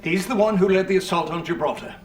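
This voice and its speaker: An actor with a British accent playing a shrewd, formal Allied field marshal in a video game cutscene. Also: male voice, high voice, soft background music, close to an everyday voice. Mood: brisk, composed. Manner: formal, clipped military briskness. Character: shrewd, stiff-upper-lip male Allied field marshal. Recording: slightly distant, faint background noise.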